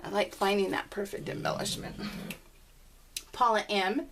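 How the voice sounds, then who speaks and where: A woman's voice: medium pitch, steady tone, moderate pace, creaky, fairly bright tone. A woman chatting informally and in a rambling way to her viewers as she hosts a casual crafting video. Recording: distant mic with faint background noise.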